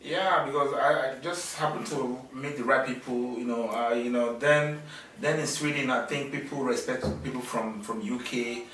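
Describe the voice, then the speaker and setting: A middle-aged man from Nigeria, answering interview questions in a halting way with many fillers and restarts. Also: medium pitch, steady tone, fairly bright tone, a little hiss or hum. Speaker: interviewee, businessman. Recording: echoey room, mic at a distance.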